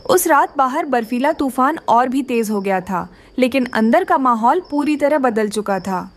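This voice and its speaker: A woman narrates a scripted moral tale for children. Her voice is high in pitch, in the manner of a cartoon storyteller. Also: expressive, normal speed.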